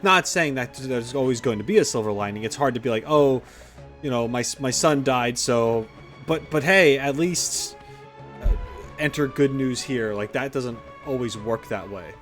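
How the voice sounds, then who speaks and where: A man commentating on a game playthrough as a streamer, casual and blunt, rambling and halting as he thinks aloud. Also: mid-range voice, fairly bright tone. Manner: rambling, halting when reflecting, blunt and profane. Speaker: gaming streamer. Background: game music.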